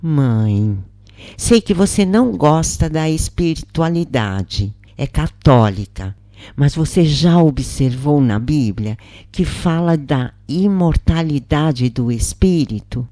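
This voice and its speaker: A woman's voice: deep voice, animated, takes it slowly, dark tone, dry recording, clean studio sound. A woman with a Brazilian accent voicing a devout, earnest daughter who questions and instructs her mother in a story. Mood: instructive.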